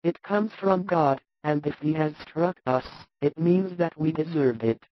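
A man voicing a sorrowful, God-fearing older peasant wife in a Bible story, pleading softly and fearfully. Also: fairly high pitch, a little slow, muffled recording, voice pushed up, lightly acted. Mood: fearful, resigned. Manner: soft, hushed, fearful pleading.

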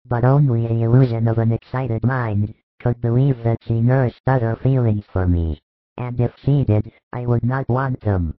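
Guy doing a calm steady teacher, firm and measured, thin phone-ish dry audio. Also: mid-range voice, no room sound, phone-quality sound, close to an everyday voice. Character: calm, resolute male teacher. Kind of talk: audiobook reading.

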